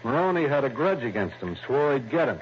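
On a dull, faintly noisy old radio recording, an actor plays a blustering American police lieutenant. He is mid-pitched, insistent and familiar, a suspicious, protective cop in sixties radio-play style. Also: very animated, fairly quick, natural voice. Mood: suspicious, earnest. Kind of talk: 1960s radio drama acting.

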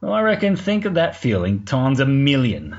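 A man's voice: medium pitch, big pitch swings, moderate pace. A man with an Australian accent telling a story informally for a podcast, in a colloquial, sweary, wry way. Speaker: podcast segment contributor. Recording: muffled lo-fi recording, clear background noise.

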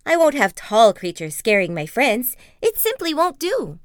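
A tiny but brave little creature who speaks briskly and with pluck, as a woman reads him out. The voice stays close to her own smooth, lively reading tone, heard dry. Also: fairly high pitch, no echo. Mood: determined. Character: very small brave male animal, determined.

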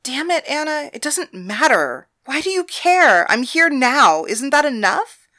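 A woman voicing a commanding, guarded woman from a brothel, brusque and forceful. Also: a little high, expressive, unhurried, exaggerated voice. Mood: exasperated. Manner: brusque and forceful, raising her voice in anger.

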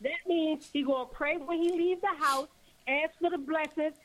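A woman's voice: high voice, steady tone, normal speed. A woman co-hosting a talk show, playful and teasing. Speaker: podcast co-host. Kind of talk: casual interview chat.

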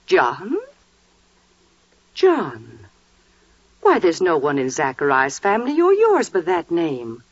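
Bossy practical relative, brisk, very animated pitch, husky edge, old-time radio drama. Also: female voice, no echo, full character voice. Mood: disapproving. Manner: brisk, insistent, expressive. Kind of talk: 1940s radio drama acting. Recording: muffled old 1940s radio recording.

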